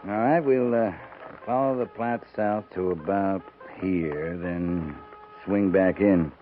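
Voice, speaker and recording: An American cavalry captain in a 1950s radio western. His low voice is measured and authoritative, with terse phrasing and thoughtful pauses, heard through a thin, band-limited vintage recording. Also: narrow, tinny audio, soft background music. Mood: measured, businesslike. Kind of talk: 1950s radio drama acting.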